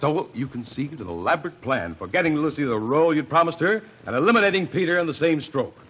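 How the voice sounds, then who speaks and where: An actor playing a methodical male courtroom investigator who presses accusations in a measured way, in an old radio drama. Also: on the high side, expressive, lightly acted. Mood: accusing. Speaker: lead detective. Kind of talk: 1940s radio acting. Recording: dull old radio broadcast with faint hiss.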